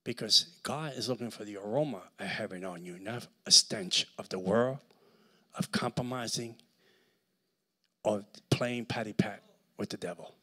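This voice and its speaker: A middle-aged man with a Puerto Rican accent preaching a sermon with a handheld mic in a large church hall, swinging between shouts and near-whispers. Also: lowish voice, moderate pace, slightly rough. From Puerto Rico. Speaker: guest preacher.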